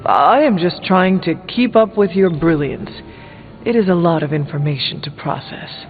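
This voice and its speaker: Man playing earnest, higher-voiced old-world hero. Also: natural voice. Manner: formal, measured wording. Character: earnest warrior hero displaced from an older time. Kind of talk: video game voice acting. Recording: thin phone-like game audio over music.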